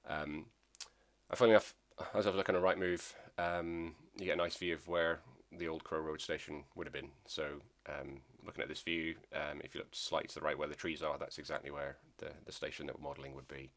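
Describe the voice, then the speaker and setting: A man with an extremely low voice talks casually about his hobby, pausing often with ums and restarting his sentences. He sounds nasal from a lingering cold. His delivery stays flat and even. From Scotland. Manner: hesitant, many ums and restarts. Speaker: model railway hobbyist. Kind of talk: casual hobby video narration.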